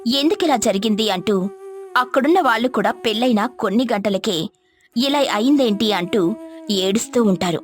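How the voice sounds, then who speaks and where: A woman's voice: mid-range voice, moderate pace, pauses often. A woman narrating a scripted moral story as a storyteller.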